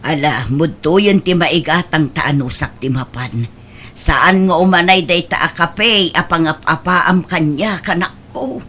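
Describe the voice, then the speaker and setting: A woman's voice: deep voice, measured pace, fairly bright tone. A woman playing a meek, self-sacrificing older mother in an Ilocano-accented audio drama, pleading and apologetic in manner. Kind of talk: amateur radio-style Ilocano audio drama. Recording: background noise and ambience.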